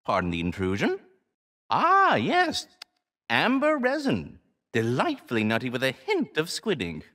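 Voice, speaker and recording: A man's voice: medium pitch, wildly swooping pitch, unhurried, sharp tone, no room sound, clean studio sound, full character voice. A man voicing a polite, erudite giant squirrel, keeper of a world tree, in a video game, with pompous, florid diction. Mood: courteous, delighted. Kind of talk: scripted video game character dialogue.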